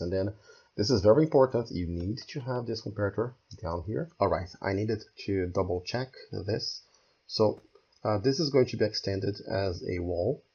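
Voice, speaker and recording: A hoarse man who is losing his voice, walking through a game build step by step and thinking aloud as he goes. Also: deep voice, darkish tone, dull audio. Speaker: game tutorial creator. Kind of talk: screen-recorded building tutorial.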